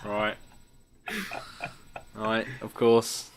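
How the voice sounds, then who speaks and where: A man's voice: lowish voice, monotone, drawn-out words, crowd noise. A man co-hosting a podcast over a video call, chatting casually in a flippant, teasing, slangy way. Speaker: podcast co-host. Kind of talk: casual joking chat.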